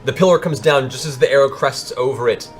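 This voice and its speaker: A man's voice: medium pitch, fast talker. A man narrating a tabletop fantasy game as game master, in a dramatic, improvised storytelling style. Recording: music behind the voice. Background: background music.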